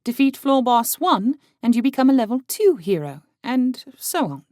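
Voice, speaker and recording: A man's voice: big pitch swings, moderate pace, darkish tone, dry recording, studio quality. A man voicing a blunt young woman adventurer in an audiobook, explaining things patiently. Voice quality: high, light voice. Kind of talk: audiobook character voice.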